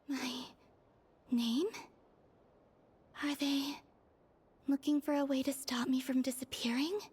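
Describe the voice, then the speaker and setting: A woman's voice: high voice, steady tone, slow pace, pauses often, a little mellow. A woman playing a fragile, wistful young moon goddess in a video game. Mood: startled, hopeful.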